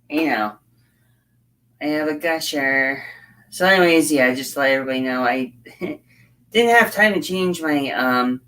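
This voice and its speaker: A hoarse woman chatting casually on a livestream as she builds a scale model, rambling and halting with frequent fillers. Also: rumbling low, fairly bright tone. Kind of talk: casual livestream chat while working.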